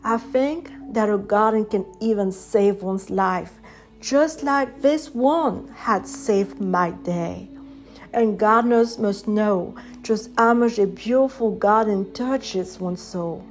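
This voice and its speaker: A woman with a French accent reads her own poetry aloud, unhurried and unforced. Her voice is soft and reflective, at an everyday pitch. Faint music plays quietly behind her. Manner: gentle, reflective, soft-spoken. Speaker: poet reading her own work. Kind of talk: slow poetry reading.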